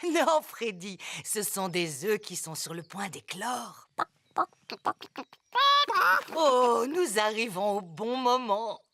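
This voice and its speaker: An actress playing a gentle, cheerful grandmother pig in a French children's cartoon dub. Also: mid-range voice, lively intonation, takes it slowly, long pauses. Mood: kindly. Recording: music behind the voice.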